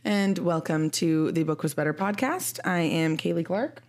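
Deep-voiced woman co-host, thirties, nasal from a cold, casual and self-mocking. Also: normal speed, darkish tone. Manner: chatty, laughs at her own complaints. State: congested from a cold, sniffly. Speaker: podcast co-host. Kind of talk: casual chat.

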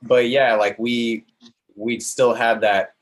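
A man's voice: medium pitch, level tone, takes it slowly. A man, the band's drummer, chatting easily in an interview. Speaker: band drummer guest. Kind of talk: casual interview chat.

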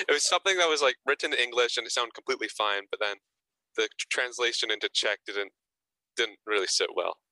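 A man's voice: mid-range voice, a little fast, pushed and bright, no echo, poor audio. A young man being interviewed as an actor guest, answering casually and hesitantly, often repeating words and adding fillers.

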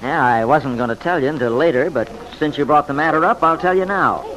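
A man's voice: fairly high pitch, animated, brisk, fairly bright tone. An actor playing a jovial, proud, folksy man who chuckles gleefully in an old-time radio serial. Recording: noisy vintage radio broadcast.

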